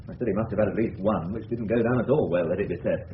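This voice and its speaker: A man's voice: lowish voice, fast, natural voice. An actor playing an exasperated, blustering middle-class English father in a 1970s radio drama. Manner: irritable, blustering. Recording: tinny, hissy old radio broadcast.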